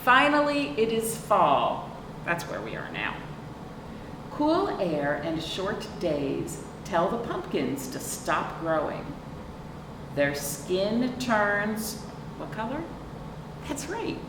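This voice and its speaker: A woman's voice: mid-range voice, very animated, slow, long pauses. A woman hosting a children's storytime, reading aloud expressively and prompting young listeners with simple questions. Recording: roomy, distant mic, clearly noisy.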